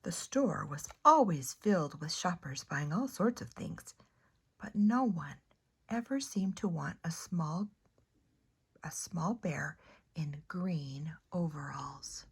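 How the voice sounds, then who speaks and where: An older woman reading a children's picture book aloud, expressively, with pauses, as a grandmother talking to a young listener. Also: low voice, animated, husky. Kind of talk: unhurried children's storybook read-aloud.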